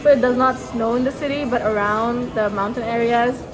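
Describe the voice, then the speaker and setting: A chatty international student from Nepal talks freely in an interview. Her voice sits on the higher side, with an easy, informal delivery. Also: steady tone, moderate pace, poor audio. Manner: chatty, laughing easily. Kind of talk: interview soundbite.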